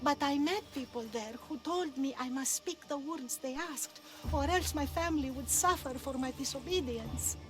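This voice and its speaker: Remorseful elderly grandmother for a game drama, female actor; high pitch, grave, contrite delivery. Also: moderate pace, hardly any pauses, close to an everyday voice. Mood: fearful, grave. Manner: solemn, contrite, pleading. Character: remorseful old grandmother, a disgraced oracle. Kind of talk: dramatic video game cutscene acting. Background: music.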